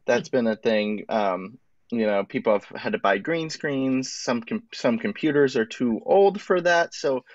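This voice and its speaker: A man working as a stage manager, casually explaining technical matters in an interview in a halting way. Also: medium pitch, lively intonation, no echo, highs cut off.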